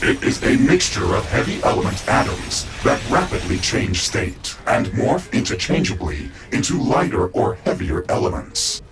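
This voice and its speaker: Deep, formal mecha-suit AI. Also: male voice, big pitch swings, vocal fry, over music, full character voice. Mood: analytical. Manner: measured, formal, analytical. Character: calm, loyal male AI of a battle mecha suit. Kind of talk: scripted video game dialogue.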